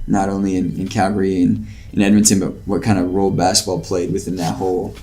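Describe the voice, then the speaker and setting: A man's voice: sits low, little pitch movement, quick pace, darkish tone. A man hosting a podcast interview, asking questions in a laid-back, slangy way with plenty of fillers. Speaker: podcast host. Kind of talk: casual interview conversation.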